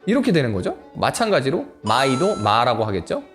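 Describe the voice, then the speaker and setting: Lively man telling a story. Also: medium pitch, fairly quick. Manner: playful, lively, mimics rap-style English. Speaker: video guest telling a personal story. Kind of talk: casual monologue to camera. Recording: faint music underneath.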